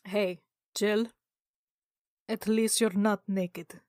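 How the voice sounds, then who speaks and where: A woman's voice: medium pitch, steady tone, extremely slow, a little mellow, no room sound, studio quality. A woman playing a tabletop role-playing game, trading casual banter in character.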